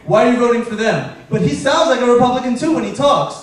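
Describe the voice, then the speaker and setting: A young man with a high voice doing stand-up, telling deadpan stories and slipping into the people he describes. His voice is heard from a distance on a live stage mic. The room is very echoey. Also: quick pace. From Afghanistan. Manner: deadpan storytelling, acting out characters in quotes. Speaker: stand-up comedian. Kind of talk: stand-up comedy set. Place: comedy club stage.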